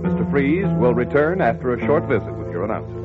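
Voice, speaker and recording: Male announcer for a vintage radio show, formal and declamatory, husky tone, muffled old broadcast. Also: medium pitch, very animated, fast, soft background music.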